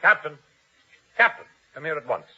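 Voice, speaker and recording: A man's voice: fairly high pitch, big pitch swings, moderate pace, pushed and bright, a little hiss or hum, exaggerated voice. An actor playing a fearful, angry, scheming king with an imperious, commanding manner in a 1950s radio drama. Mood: furious. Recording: dull old radio recording.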